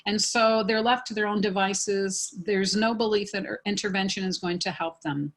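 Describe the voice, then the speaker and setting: A woman's voice: mid-range voice, steady tone, normal speed, a bit bright. A woman panelist and professor, speaking earnestly and explaining at length in a panel discussion, often repeating phrases.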